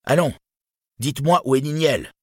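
A man's voice: lowish voice, moderate pace, slightly rough, no echo, studio quality. A man voicing a proud, wounded warrior hero in a fantasy storybook, declaiming in an imperious, indignant way. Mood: indignant, demanding. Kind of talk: dramatic fantasy storybook reading.

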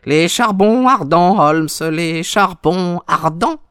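A high-pitched, sneering storybook villain. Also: male voice, animated, slow, a bit bright, clean tone, dry recording, clean studio sound, full character voice. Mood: mocking, gloating. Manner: mocking, taunting, mock-polite. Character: sneering, gloating villain, a cruel male visitor. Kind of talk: audiobook character acting.

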